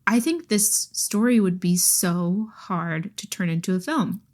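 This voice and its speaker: A woman's voice: on the low side, animated, unhurried. Informal woman chatting casually about books. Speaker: podcast co-host. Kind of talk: casual book chat.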